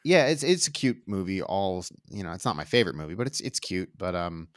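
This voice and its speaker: A man's voice: deep voice, animated, brisk, no echo, clean studio sound. A man hosting a casual discussion of a movie trailer, speaking with enthusiasm and asking the others for their opinions. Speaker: podcast host.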